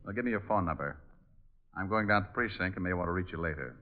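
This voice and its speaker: A man playing a cocky, sardonic private detective in an old-time radio drama, quick with quips. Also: low-pitched, close to an everyday voice. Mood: probing. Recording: thin, phone-like vintage radio recording.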